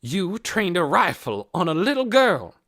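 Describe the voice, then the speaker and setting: A woman's voice: fairly low pitch, big pitch swings, a little slow, fairly bright tone, dry recording, studio quality, pitched above the performer's own voice. A reader voicing an outraged woman who speaks in a breathless, quivering voice in an audiobook. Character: outraged busybody older woman, breathless and shrill. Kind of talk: audiobook character voice.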